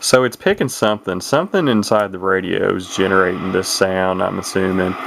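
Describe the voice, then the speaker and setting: A man with a low voice and a creaky, fry-laden edge walks through a product casually and without a script. He is matter-of-fact and tends to ramble. The recording is very noisy, with hiss behind him. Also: a little fast. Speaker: radio collector giving a product demonstration. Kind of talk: casual unscripted product walkthrough.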